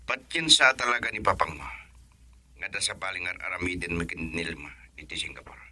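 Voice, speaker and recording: An actor playing an earnest, brooding husband in an Ilocano radio drama, with a Filipino accent. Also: male voice, sits low, a little fast, sharp tone, no room sound, full character voice. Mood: low, confiding.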